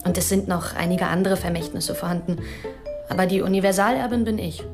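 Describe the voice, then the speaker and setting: An actress playing a cool, candid young heiress in a radio play, composed, blunt and matter-of-fact. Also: female voice, lowish voice, brisk, over music, lightly acted. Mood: cool candour.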